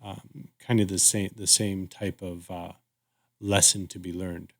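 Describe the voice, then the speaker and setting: A man co-hosting a podcast, discussing casually in an agreeable, thoughtful and somewhat halting way. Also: sits low, flat delivery, vocal fry. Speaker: podcast co-host.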